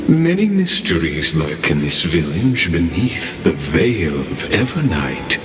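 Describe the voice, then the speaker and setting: An actor playing a solemn, enigmatic storyteller in a game, with measured, formal, theatrical narration. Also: male voice, sits low, sing-song, exaggerated voice. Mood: solemn, mysterious. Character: deep, cryptic old-sounding male storyteller, slow and solemn. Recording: cavernous echo, long reverb, background noise.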